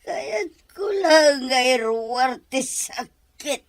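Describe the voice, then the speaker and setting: A woman's voice: high-pitched, lively intonation, very slow, no room sound, exaggerated voice. A woman acting a bitter, heartbroken middle-aged mother in a melodramatic radio drama, with a tearful, wailing delivery. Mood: anguished, sobbing.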